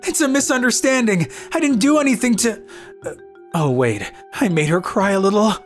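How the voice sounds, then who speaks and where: A man's voice: high voice, lively intonation, normal speed. A man voicing a self-deprecating young office worker, narrating and acting out his own manga story. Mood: panicked. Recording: music under the voice.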